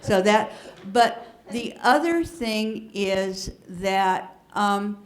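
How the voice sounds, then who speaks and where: Female panelist; earnest, halting reminiscence, slow-paced. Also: medium pitch, lively intonation. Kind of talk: personal recollection on a panel.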